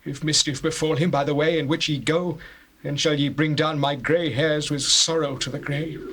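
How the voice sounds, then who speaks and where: A man's voice: fairly high pitch, steady tone, normal speed, noisy background, lightly acted. An actor playing a grieving, anxious old father in a dramatized scripture, declaiming solemnly in archaic English. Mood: grieving, bitter. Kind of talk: dramatized scripture, stage-like acting.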